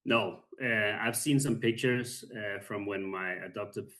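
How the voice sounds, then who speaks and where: Danish-accented man, calm and low. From Denmark. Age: 30s. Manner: calm, soft-spoken, halting with many pauses. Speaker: guest. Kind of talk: casual interview.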